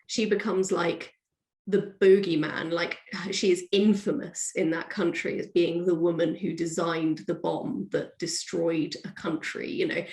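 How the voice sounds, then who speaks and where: A woman's voice: lowish voice, darkish tone. A woman author being interviewed, chatting casually and thinking aloud in a discursive way. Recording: roomy, echoey sound.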